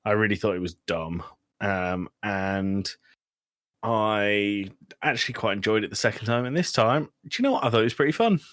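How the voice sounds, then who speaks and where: A man's voice: lowish voice, expressive, normal speed, fairly bright tone, dry recording, studio quality. A man co-hosting a podcast, giving blunt opinions in a casual, slightly hesitant chat. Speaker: podcast co-host.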